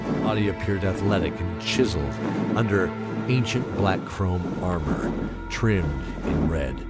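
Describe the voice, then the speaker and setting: A man giving solemn, measured, dramatic narration for a scripted fantasy story. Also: low-pitched, expressive. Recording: loud music underneath.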